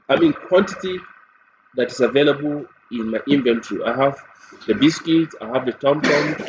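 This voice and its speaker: A man with a Nigerian accent walks through a software product one step at a time, speaking off the cuff. His voice sits at an average pitch. The recording is dull and lo-fi, with a faint layer of noise behind him. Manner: step-by-step explaining. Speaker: software demonstrator. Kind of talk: screen-recorded product walkthrough, unscripted.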